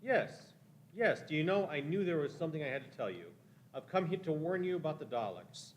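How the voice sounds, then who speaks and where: A man's voice: a little high, expressive, a little fast, highs cut off, a little hiss or hum, natural voice. A man playing a shifty, cowardly, ingratiating time traveler in a radio play, wheedling and evasive. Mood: sly, ingratiating. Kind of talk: live radio-play acting.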